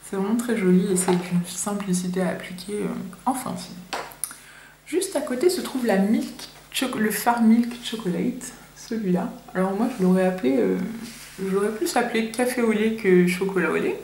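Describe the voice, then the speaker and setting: A woman's voice: mid-range voice, moderate pace, darkish tone. Chatty young Frenchwoman in an echoey room. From France. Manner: chatty, nervous first-timer, many fillers. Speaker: beauty vlogger. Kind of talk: casual makeup review to camera. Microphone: camera mic. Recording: echoey room, faint hiss.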